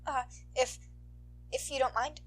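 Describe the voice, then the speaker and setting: A nervous young teenage boy as a woman narrator acts him out for a fan fiction story. The voice is raised high and stammers politely, as if close to tears. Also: steady tone, measured pace, slight background noise. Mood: shy, polite.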